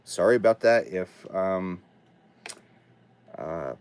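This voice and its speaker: Guy with a cold, raspy hoarse voice, chatty, rambles on his livestream, pitch jumps around a lot. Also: expressive, takes it slowly, darkish tone. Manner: rambling, chatty, talks to himself while setting up. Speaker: livestream host. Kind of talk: casual livestream chat. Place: home studio basement.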